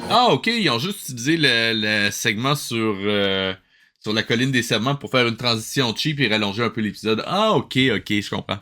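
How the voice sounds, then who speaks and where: A man's voice: medium pitch, animated, normal speed, pushed and bright. A man with a Quebec French accent chatting casually and sarcastically as he reacts to a cartoon as host. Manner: teasing, sarcastic. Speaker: host of a watch-along reaction show. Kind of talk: casual chat, live commentary over a cartoon.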